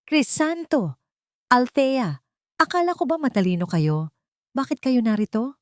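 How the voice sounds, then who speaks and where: A woman with a Filipino accent voicing a spiteful, envious female villain in an audiobook, shrill and venomous. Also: big pitch swings, unhurried, no echo, studio quality. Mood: venomous shock.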